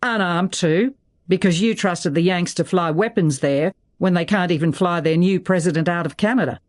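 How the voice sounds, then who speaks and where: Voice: mid-range voice, moderate pace, lightly acted. Narrator putting on sardonic, blustering male politico. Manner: sarcastic, flippant.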